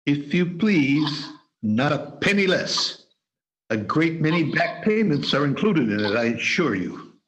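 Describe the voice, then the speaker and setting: A bit higher than most men, this is a stiff old miser turned generous, as a man reads him. His gruffness slips into a humble, warm manner. He comes through a video call for an amateur radio play. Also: big pitch swings, measured pace, low-quality recording. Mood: kindly. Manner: gruff growl put on, then warm and humble.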